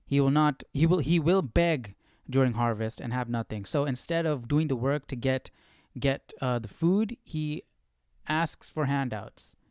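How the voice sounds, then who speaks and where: A man's voice: medium pitch, a little slow, a little mellow, clear, resonant tone, dry recording, studio quality. A man hosting a live study stream, thinking aloud in casual commentary and often repeating and rephrasing himself.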